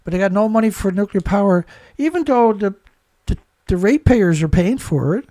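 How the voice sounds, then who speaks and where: A man's voice: high voice, expressive, fairly quick, warm tone, no room sound. A man hosting a solo news commentary, stuffed up, rambling and indignant, full of rhetorical questions. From Canada. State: stuffed-up nose. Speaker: host.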